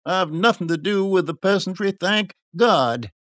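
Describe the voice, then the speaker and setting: Guy putting on snobby merchant man, impatient, pitched up and forceful, audiobook. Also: lively intonation, measured pace, no echo, studio quality. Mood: indignant. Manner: indignant, haughty. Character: proud, impatient cloth merchant, scornful of peasants. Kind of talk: audiobook character acting.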